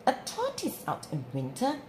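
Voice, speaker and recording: A woman's voice: high-pitched, huge pitch swings, normal speed, pitched above the performer's own voice. A woman voicing a smug, haughty female cat who taunts in a storybook. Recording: roomy with clear background noise.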